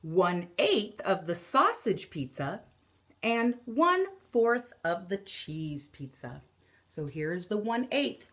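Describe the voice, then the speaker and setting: A woman in her thirties, an elementary teacher, reading a picture book aloud as a lesson for children, explaining deliberately and repeating key phrases. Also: mid-range voice, sing-song, a bit bright. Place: living or dining room at home, indoors.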